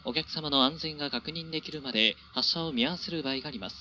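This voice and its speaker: A man's voice: on the high side, quick pace, sharp tone. A man working as a train conductor, making formal, polite announcements over the train's address system. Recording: dull train PA with faint noise.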